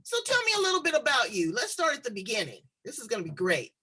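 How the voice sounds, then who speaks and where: A woman talk show host with a bright, forceful voice that swings widely in pitch. She talks in a playful, animated way with slangy asides, sitting on a video call. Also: fast. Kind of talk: lively talk show chat.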